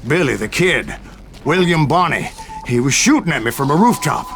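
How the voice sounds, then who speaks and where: A man's voice: on the high side, big pitch swings, normal speed, fairly bright tone, slight background noise. An actor playing a stubborn, haunted old gunslinger who narrates his tales theatrically in a western game. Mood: haunted, dramatic. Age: older. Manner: theatrical frontier storyteller. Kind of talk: dramatic storytelling narration in a western video game.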